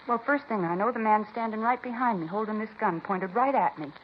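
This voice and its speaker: Actress as a fast-talking, indignant woman cashier, old radio. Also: mid-range voice, natural voice. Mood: dramatic. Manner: folksy, gossipy, dismissive. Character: chatty, indignant woman grocery cashier recounting a holdup. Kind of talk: 1950s radio police drama acting. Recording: muffled, hissy 1950s radio recording.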